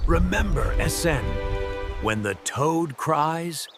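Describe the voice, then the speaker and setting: A man's voice: fairly high pitch, animated, slow pace. A man telling a fairy tale in a solemn, dramatic storytelling style. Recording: loud music behind the voice.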